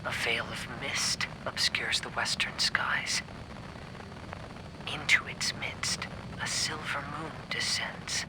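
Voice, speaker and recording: An actor playing a gentle, earnest young samurai heir in a video game, soft-spoken and poetic in manner. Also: male voice, mid-range voice, fairly even intonation, slow, whispered, close to an everyday voice, rough recording. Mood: quiet melancholy. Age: young adult. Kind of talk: dramatic video game dialogue.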